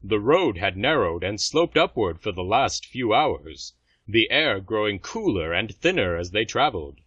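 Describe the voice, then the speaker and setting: A man's voice: on the low side, big pitch swings, normal speed, fairly bright tone, breathy edge, dry recording. A man reading scripted fantasy story narration for an audio drama. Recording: slightly dull, music underneath.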